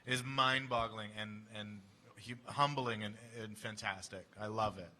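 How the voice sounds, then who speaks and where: Lower-voiced man, halting and wry. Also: pushed and bright. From Canada. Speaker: voice actor panelist. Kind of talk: convention panel answers. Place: convention panel room.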